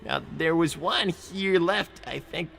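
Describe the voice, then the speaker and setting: A man voicing a high-strung young cartoon fox inventor, stammering and melodramatically exasperated in improvised comedy. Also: slow, vocal fry, over music. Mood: earnest explaining. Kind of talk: improvised in-character comedy livestream.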